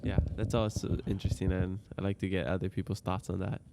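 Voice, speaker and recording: Gravelly low-voiced host, Hawaiian first language. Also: male voice, flat delivery, fast, noisy background. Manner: friendly, laughs often, asks probing questions. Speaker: podcast host. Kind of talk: casual interview chat.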